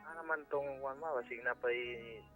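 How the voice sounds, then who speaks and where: A man with a Filipino accent calling in to a radio show, confiding casually in a halting, repetitive, deferential way. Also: mid-range voice, bright, forceful tone. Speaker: phone-in caller. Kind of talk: casual personal confession on radio. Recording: thin phone line, music underneath.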